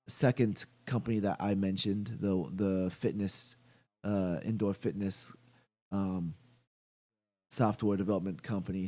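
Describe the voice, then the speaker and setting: A young man hosting a casual livestream about gaming, stuffy from allergies, talking haltingly with many fillers and restarts. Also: fairly low pitch, dark tone. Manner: greets chat viewers by name. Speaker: gaming channel host.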